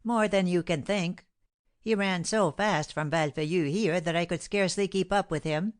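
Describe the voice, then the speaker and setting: A woman's voice: lowish voice, natural voice. A woman reading aloud voices a sly, boastful male servant who drags out his story. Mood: gossiping. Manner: dramatic, drawn-out storytelling.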